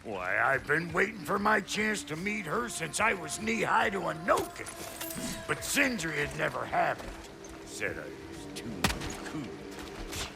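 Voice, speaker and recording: Gravelly, wildly swooping dwarf blacksmith. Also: male voice, on the high side, big pitch swings, measured pace, music in the background, exaggerated voice. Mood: wistful, musing. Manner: folksy, irreverent, colloquial. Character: boisterous, cranky, proud dwarf blacksmith. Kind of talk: video game character acting.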